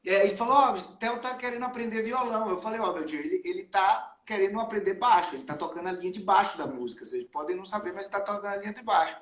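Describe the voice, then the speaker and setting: A man's voice: high-pitched, rapid-fire, hardly any pauses, a bit bright. A Brazilian man hosting a music live stream, chatting casually and telling stories with plenty of slang. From Salvador, Brazil. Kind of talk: casual chat. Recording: roomy, echoey live stream sound.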